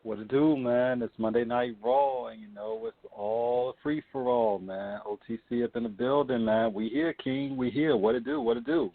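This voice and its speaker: Rambling co-host on a dry phone line. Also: male voice, mid-range voice, moderate pace, few pauses, no echo. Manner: rambling, many fillers. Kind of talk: casual interview chat.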